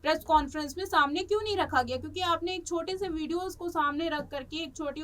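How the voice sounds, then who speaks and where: A woman presenting news commentary in a halting, repetitive way, stretching words as she searches for the next. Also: extremely high pitch, fairly bright tone. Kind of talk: unscripted news commentary on a celebrity case. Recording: distant microphone.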